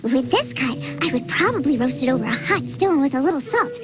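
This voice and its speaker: Eager young female game chef: tiny high voice, cheerful and confident, music underneath. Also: big pitch swings, a little fast. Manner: perky, eager, self-assured. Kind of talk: animated video game voice acting.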